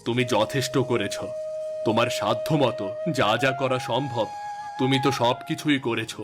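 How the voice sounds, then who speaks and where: Guy as earnest middle aged father in cartoon story, measured talk, music playing behind him. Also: mid-range voice, fairly even intonation, natural voice. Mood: consoling. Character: reasonable middle-aged father and husband, gently chiding. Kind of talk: melodramatic cartoon story acting.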